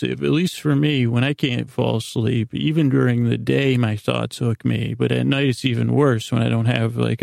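A man hosting a bedtime podcast in a meandering, halting monologue full of tangents, with a gravelly, creaky voice. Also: medium pitch, darkish tone, no echo, clean studio sound. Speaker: podcast host.

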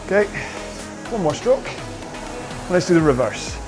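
A man coaching a rowing workout, calling out cues in an upbeat, jokey way, his voice slightly hoarse. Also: high voice, very animated, a little slow. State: a bit hoarse. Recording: music playing behind. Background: workout music.

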